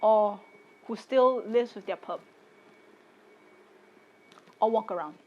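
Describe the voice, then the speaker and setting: Firm, measured young woman. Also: mid-range voice, darkish tone, muffled recording. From Singapore. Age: late 20s. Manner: deliberate and firm, speaking in short measured phrases. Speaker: content creator. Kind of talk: personal video statement.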